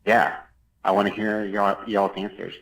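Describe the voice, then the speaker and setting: A man's voice: fairly low pitch, flat delivery, moderate pace, gravelly, fairly bright tone. A man from Chicago leaving a casual voicemail as a listener, recorded on a phone. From Chicago, United States. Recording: voicemail, distant from mic.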